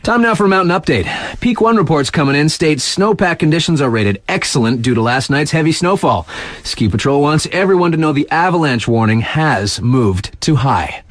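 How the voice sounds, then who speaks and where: A man playing a laid-back, wry young radio DJ in a video game, making casual, slangy announcements with a playful deadpan. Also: a little high, normal speed, no echo, lightly acted. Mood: casual announcing.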